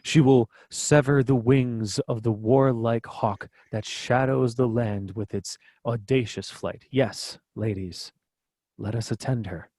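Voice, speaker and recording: Man as solemn male archangel, mellow tone, dry sound, grave declamation, stage-play table read. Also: medium pitch, fairly even intonation, slow pace, dry recording. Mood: grandly prophetic. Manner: grave, declamatory, occasionally stumbling over the script.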